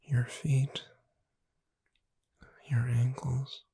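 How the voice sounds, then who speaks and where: Low, slow, whispering witch played by a woman. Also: little pitch movement, mellow, slight background noise, exaggerated voice. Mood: calm, soothing. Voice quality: breathy, whispery. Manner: slow, soothing, deliberate. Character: gentle witch bookseller soothing a customer to sleep. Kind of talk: soft-spoken ASMR sleep roleplay, guided relaxation.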